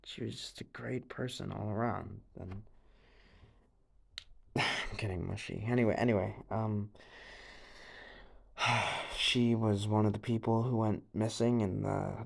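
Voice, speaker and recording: A young man playing an anxious, haunted teenage boy in an audio drama monologue, speaking haltingly with stammers, sighs and a creaky voice. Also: fairly low pitch, fairly even intonation. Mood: subdued, wistful. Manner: halting, stammering, sighing, nervous breathy laughs.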